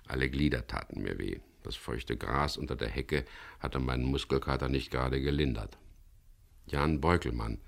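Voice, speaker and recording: Wry, tough skipper, radio crime drama lead; extremely low, creaky voice, narrates in laconic, measured fashion. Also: male voice, full character voice.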